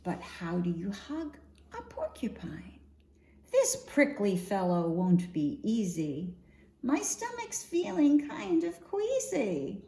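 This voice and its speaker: A woman reading a picture book aloud for young children in an expressive, singsong storytime manner, often posing questions to her listeners. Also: on the high side, vocal fry. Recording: roomy echo, faint background noise.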